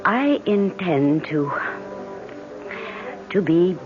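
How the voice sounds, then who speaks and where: An actress playing a loving, anxious young fiancée in a radio drama, earnest and insistent. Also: female voice, lowish voice, animated, drawn-out words. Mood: earnest, tender. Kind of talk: 1970s radio drama acting. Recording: dull old radio recording with music.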